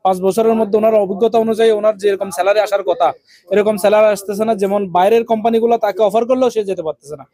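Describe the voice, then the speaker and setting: A man's voice: high-pitched, fairly even intonation, quick pace, dark tone, no room sound. A man giving an interview statement.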